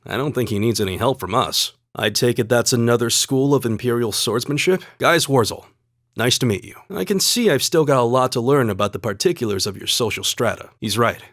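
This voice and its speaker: A man voicing a calm, courteous and earnest young male student fighter in a video game, speaking in a measured, polite way. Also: medium pitch, dry recording, clean studio sound, lightly acted. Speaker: video game character voice actor.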